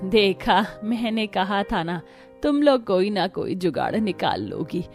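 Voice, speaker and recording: A woman's voice: mid-range voice, very animated, measured pace, darkish tone. A bossy older Indian mother-in-law in a family cartoon, acted by a woman. She is fussy yet fond as she addresses the household. Faint music runs underneath. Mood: approving, instructing. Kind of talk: Hindi family cartoon dialogue.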